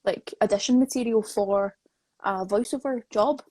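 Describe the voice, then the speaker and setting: A woman's voice: mid-range voice, moderate pace, darkish tone, no echo. A woman hosting a casual live interview, speaking in a conversational, encouraging way. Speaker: interview host.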